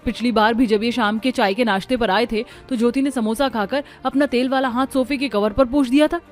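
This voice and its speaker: Woman as high-voiced hot-tempered scolding daughter-in-law. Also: fairly even intonation, fairly quick, faint music behind, natural voice. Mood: furious.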